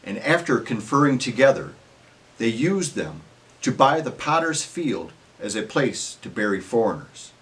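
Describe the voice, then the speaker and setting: Expressive man reading scripture solemnly. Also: medium pitch, unhurried, low-quality recording. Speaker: narrator of a church dramatic reading.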